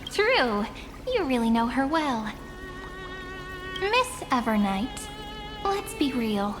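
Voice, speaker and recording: A poised, gently teasing young woman speaks a little high in a long, hall-like reverb. She sounds knowing and coaxing, a video game character with music clearly behind her. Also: very animated, takes it slowly, exaggerated voice. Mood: composed, teasing.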